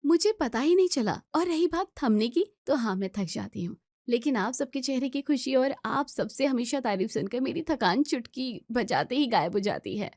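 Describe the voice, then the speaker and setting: Woman acting a sweet, higher-pitched young daughter-in-law. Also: expressive, normal speed, few pauses, a little mellow, no echo, clean studio sound. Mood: sweet, grateful. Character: cheerful, dutiful young daughter-in-law in her twenties.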